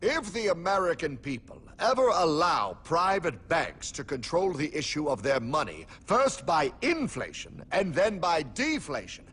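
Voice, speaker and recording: A man's voice: fairly high pitch, big pitch swings, measured pace, few pauses, breathy edge, in a large hall, full character voice. A man voicing a solemn, resolute founding statesman in a cartoon, declaiming in stately formal oratory. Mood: grave warning. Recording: loud music behind the voice.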